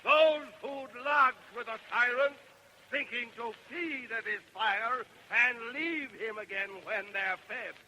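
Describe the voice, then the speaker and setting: High, ringing, fiery male orator. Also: sharp tone, full character voice. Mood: scornful rebuke. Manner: slow, ringing, incantatory, oratorical. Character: fiery male orator, stern prophetic leader haranguing a crowd. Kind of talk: declamatory old radio verse drama. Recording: noisy old radio recording.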